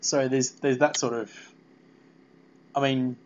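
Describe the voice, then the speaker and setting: A man hosting a casual podcast interview, talking in a halting, meandering way. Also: mid-range voice, little pitch movement, a little mellow, slight background noise. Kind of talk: casual fan interview chat. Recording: dull, lo-fi podcast audio.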